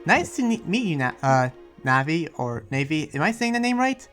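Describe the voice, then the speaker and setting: A man's voice: a little high, very animated, a bit bright, faint music behind. Man playing a hesitant, earnest anime townsman. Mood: friendly, awkward. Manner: hesitant, rambling explanations full of pauses. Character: friendly, earnest demon-sheltering townsman who senses lies. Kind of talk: improvised anime video game roleplay.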